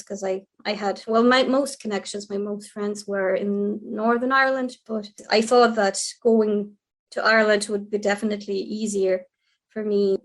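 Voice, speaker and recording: A young Ukrainian woman with a Ukrainian accent, a lawyer and historian speaking earnestly as a podcast guest, often restarting her sentences. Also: mid-range voice. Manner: quick, earnest, with many restarts. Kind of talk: podcast interview.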